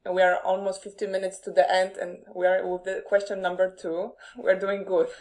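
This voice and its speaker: A woman's voice: medium pitch, little pitch movement, normal speed, a little mellow. A woman with a Polish accent hosting a live online audio event, chatty and thanking listeners by name. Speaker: podcast host.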